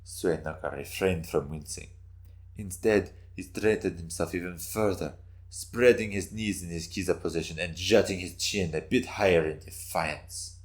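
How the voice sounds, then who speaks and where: A man's voice: sits low, moderate pace. A man reading scripted story narration in a dramatic style.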